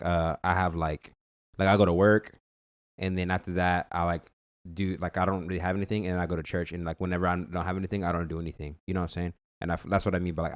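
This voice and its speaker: A man's voice: low voice, dry recording, studio quality. A young man co-hosting a podcast, talking casually and loosely with lots of fillers and restarts. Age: young adult. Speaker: podcast co-host. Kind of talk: casual chat.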